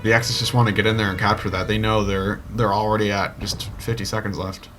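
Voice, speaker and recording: Male shoutcaster, low voice, live play-by-play, music and gunfire loud behind him. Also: fast. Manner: rises excitedly at kills, unsure over player names. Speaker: esports shoutcaster. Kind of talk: live game commentary.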